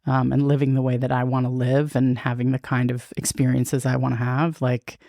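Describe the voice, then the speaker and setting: A woman's voice: rumbling low, flat delivery, fairly quick, vocal fry, dark tone, dry recording, clean studio sound. A woman in her fifties, a podcast guest talking frankly and with self-mocking humor. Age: 50s. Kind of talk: candid conversational interview.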